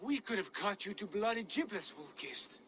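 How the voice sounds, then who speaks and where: Proud Viking chieftain in reverberant noise. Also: male voice, high-pitched, lively intonation, moderate pace, a bit bright. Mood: tense. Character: proud, confident Viking chieftain, large Norseman. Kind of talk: dramatic game cutscene acting. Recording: reverberant, with clear background noise.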